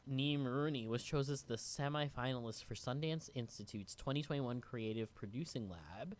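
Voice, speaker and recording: A man's voice: mid-range voice, moderate pace. A man raised in New York co-hosting a podcast and chatting loosely through a guest's background, with lots of filler words. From United States (raised in New York). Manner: relaxed, rambling, many "like" fillers. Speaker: podcast co-host and actor. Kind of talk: casual chat, reading guest bio.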